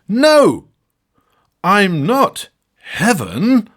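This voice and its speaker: A man putting on a shrill startled nun. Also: wildly swooping pitch, extremely slow, a bit bright, exaggerated voice. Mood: protesting. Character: startled young woman nun fending off a monk.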